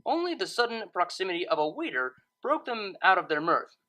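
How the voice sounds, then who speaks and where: A woman reading scripted fiction as narrator in an expressive storytelling manner. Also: on the low side, a little fast.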